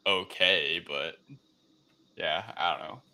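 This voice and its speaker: A man's voice: low voice, steady tone, slow pace, pushed and bright. A man co-hosting a casual film discussion in an informal, sardonic way. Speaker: podcast co-host. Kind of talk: casual chat, film review.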